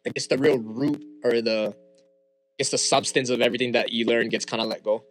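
A man's voice: medium pitch, fairly quick, soft background music. Young Hawaiian man, native Hawaiian speaker, guest on a chat show; loose and easygoing. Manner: relaxed, frequent fillers and restarts. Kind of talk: casual interview chat.

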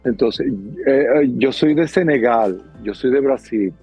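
A man's voice: mid-range voice, unhurried, husky, faint music behind. Venezuelan man telling stories, muffled sound. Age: middle-aged. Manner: animated, acting out little dialogues. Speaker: guest, circus performer and dancer. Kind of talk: conversational storytelling in an interview.

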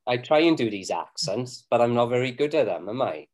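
Casual male co-host, mid-pitched, stumbling and self-deprecating. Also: expressive, brisk, darkish tone. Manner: chatty, hesitant, self-deprecating. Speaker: beer podcast co-host. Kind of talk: casual chat reviewing beer.

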